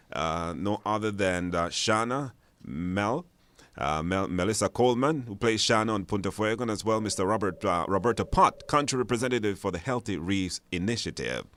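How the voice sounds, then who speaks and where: A man's voice: fairly low pitch, normal speed, a bit bright, no echo. A man hosting a live radio phone-in, chatty and informal, often repeating his phrases. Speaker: radio show host. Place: radio studio.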